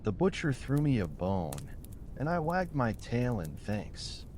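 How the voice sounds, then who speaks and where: A man's voice: medium pitch, very animated, unhurried, a little mellow, a little hiss or hum. A man voicing a wronged old man who tells his tale formally and earnestly in a bedtime storybook. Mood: earnest, wounded.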